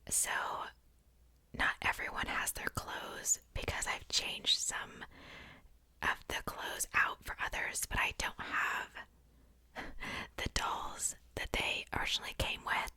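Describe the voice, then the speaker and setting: A woman's voice: slow, sharp tone. A young adult woman hosting ASMR, whispering casually in a rambling, informal show-and-tell with self-joking asides. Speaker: ASMR video host.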